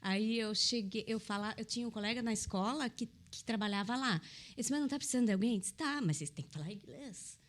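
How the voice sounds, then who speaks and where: A woman's voice: on the high side, fast talker. A middle-aged woman from Brazil, a restaurant owner telling her story in a casual chat, acting out the dialogue as she goes.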